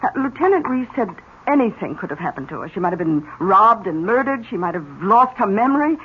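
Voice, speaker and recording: A woman's voice: medium pitch, very animated, fairly quick, slightly rough. An actress playing a doting, fretful old aunt, motherly and genteel, in theatrical old-time radio drama. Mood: troubled. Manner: effusive, theatrical. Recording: muffled, noisy old radio recording.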